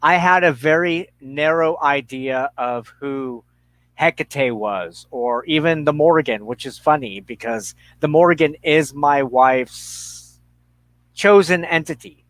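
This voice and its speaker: A middle-aged man in a reflective conversation, speaking haltingly and thoughtfully with pauses mid-sentence. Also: a little high, a bit bright, no room sound, poor audio.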